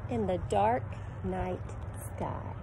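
A woman's voice: medium pitch, steady tone, takes it slowly, gravelly, noisy background. A middle-aged woman, a preschool teacher, reading a picture book to children in a sing-song voice, sometimes making animal sounds, recorded outdoors on a phone camera. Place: outdoor deck in a backyard. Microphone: phone camera at arm's length.

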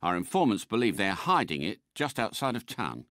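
A man's voice: on the low side, very animated, normal speed, a bit bright, no echo, studio quality. A man playing a suave British secret agent car in a video game, polite and unflappable, with clipped, precise diction. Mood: composed, wry.

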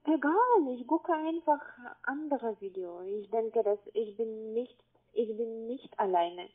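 A woman with a foreign accent speaks over a narrow, tinny phone line. She is a call-centre caller, insistent in manner, piecing sentences together in halting non-native phrasing. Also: a little high, lively intonation. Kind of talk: phone conversation.

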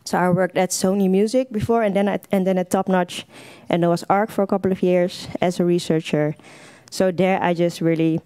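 A woman's voice: on the low side, steady tone, normal speed, warm tone, smooth, no echo. A woman, talking unprepared at a podium microphone, loose and full of "like" fillers. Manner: loose, with many "like" fillers and restarts. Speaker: panel speaker. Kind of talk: unprepared casual talk. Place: lecture hall, at a podium.

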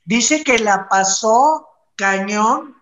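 A woman's voice: a little high, expressive, drawn-out words, a bit bright. A middle-aged woman with a Mexican accent, giving casual entertainment news commentary as a co-host. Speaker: show co-host. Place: indoor room. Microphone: video call. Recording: echoey room, dull video-call sound.

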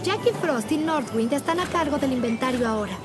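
A woman's voice: high-pitched, expressive, brisk. A woman voicing a cheerful, proud teenage winter princess in a cartoon dub, with a Latin American accent. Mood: cheerful, welcoming. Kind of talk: animated cartoon dubbing. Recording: loud music behind the voice.

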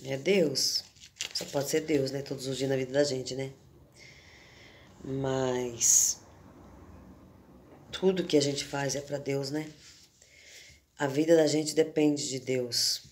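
A hoarse woman in her fifties with a cold, from Brazil, chatting in a homely, devotional way to her viewers and recorded on a phone at arm's length in a room at home. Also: rumbling low, fairly even intonation, a little mellow, slight background noise. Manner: slow, homely talk with frequent "né" and "gente". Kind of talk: casual devotional chat to viewers. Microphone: phone camera at arm's length.

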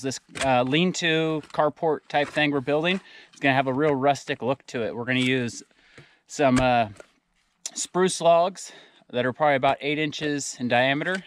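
Man, 30s, folksy and relaxed, outdoor selfie-camera audio, light noise. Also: medium pitch. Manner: laid-back and folksy. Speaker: homesteading vlogger. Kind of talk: casual on-camera narration. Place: outdoors at a forest homestead. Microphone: camera held at arm's length.

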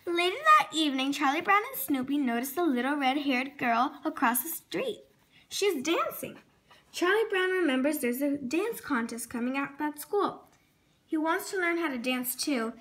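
A woman's voice: high voice, expressive, normal speed, a bit bright. A female reading a children's storybook aloud expressively, sometimes stumbling over words and correcting herself. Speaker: storybook reader.

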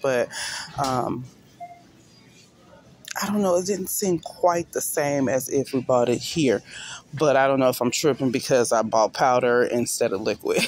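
A drowsy, folksy woman in her forties chats straight to her viewers, calling them "y'all" and "baby" as she rambles along. She talks into a handheld phone, first from a parked car and then out in a big store, with a faint hum of ambience behind her. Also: extremely low pitch. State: sleepy. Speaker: mom vlogger. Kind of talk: casual shopping vlog talk. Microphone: handheld phone camera.

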